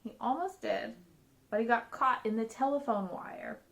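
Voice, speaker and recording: A woman reading a children's picture book aloud as a storyteller, with an expressive, dramatic delivery. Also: on the high side, normal speed.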